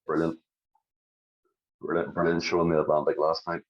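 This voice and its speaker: A man's voice: very deep voice, little pitch movement, normal speed, lo-fi sound. A man with a Northern Irish accent co-hosting a podcast, chatting casually and emphatically, often repeating words. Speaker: podcast co-host. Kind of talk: casual chat.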